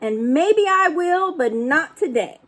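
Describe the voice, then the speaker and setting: A woman's voice: high-pitched, lively intonation, slow pace, pitched above the performer's own voice. A woman voicing an eager young boy in a storybook adventure. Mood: content. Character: eager young boy aboard a pirate ship.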